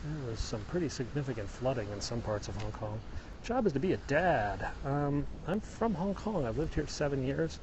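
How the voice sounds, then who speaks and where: A man's voice: medium pitch, lively intonation, normal speed, a little mellow, slight background noise, rough recording. Midwestern American man chatting on a walk. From Illinois, US. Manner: chatty, explanatory, answering viewers. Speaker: vlogger live-streaming a hike. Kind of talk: casual walking livestream chat. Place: outdoors on a mountain road.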